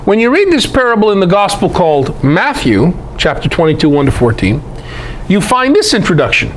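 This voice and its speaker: A man's voice: sits high, big pitch swings, brisk, a little hiss or hum, rough recording. A man giving a conversational Bible lecture to a group, emphatic, posing rhetorical questions.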